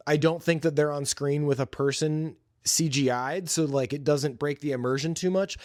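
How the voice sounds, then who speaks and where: A man's voice: on the high side, no echo, clean studio sound. A man hosting a podcast, giving an informal, opinionated and enthusiastic movie review in casual, slangy talk. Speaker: podcast host.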